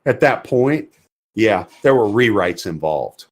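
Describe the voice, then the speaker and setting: A man's voice: fairly low pitch, big pitch swings, slow pace. A man co-hosting a podcast in casual chat, speaking bluntly and emphatically, often repeating words as he builds a point. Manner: emphatic, repetitive, blunt opinions. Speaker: podcast co-host.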